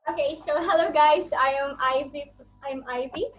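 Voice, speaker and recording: High-voiced nineteen-year-old woman, lo-fi. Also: normal speed, a little hiss or hum. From Greece. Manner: nervous, restarts. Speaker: youth group leader, guest. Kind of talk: casual self-introduction. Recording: dull lo-fi sound.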